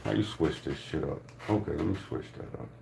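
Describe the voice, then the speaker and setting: A man's voice: extremely low pitch, a little fast, gravelly. A man giving casual, joking reaction commentary on a video, loose and profane, often repeating himself. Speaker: reaction streamer. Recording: roomy echo, faint noise.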